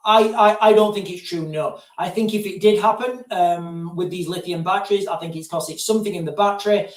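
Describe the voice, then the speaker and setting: Male streamer, high voice, loose digressive chat, set back from the mic in a roomy space. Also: fairly even intonation, a little fast. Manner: digressive, keeps addressing viewers as guys. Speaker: live-stream host answering viewer questions. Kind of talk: casual rambling live-stream chat. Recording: roomy sound, distant from mic.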